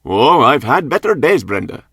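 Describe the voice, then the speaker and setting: A man voicing a harried businessman who keeps a brisk, friendly tone on the phone. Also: medium pitch, sing-song, a bit bright, husky, no echo, clean studio sound, exaggerated voice.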